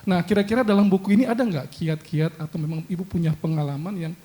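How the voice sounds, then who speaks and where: High mellow-voiced man. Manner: measured, halting. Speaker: ministry official asking a question. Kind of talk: Q&A question.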